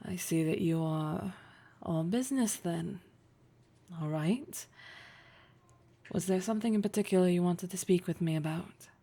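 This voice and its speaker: A woman playing a poised, flirtatious, domineering boss in a roleplay audio drama, speaking formally and with measured pauses in a teasing, condescending way. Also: fairly low pitch, warm tone. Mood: businesslike, wry.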